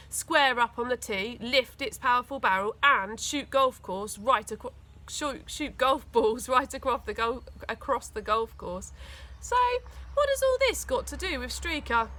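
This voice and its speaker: A woman reading a children's story aloud with expressive, dramatic emphasis. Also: fairly high pitch, moderate pace. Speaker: school teaching assistant reading aloud.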